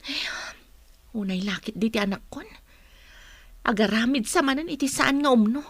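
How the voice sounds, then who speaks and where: A woman's voice: fairly high pitch, lively intonation, moderate pace, dry recording. A woman playing a defensive, exasperated mother in a radio drama, complaining emphatically. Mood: exasperated, worried. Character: defensive, exasperated mother of school-age daughters.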